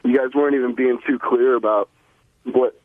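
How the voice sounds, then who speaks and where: A man's voice: medium pitch, fairly even intonation, normal speed, no room sound. A man calling in to a radio show and chatting casually over the phone. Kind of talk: casual chat. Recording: tinny dry phone line.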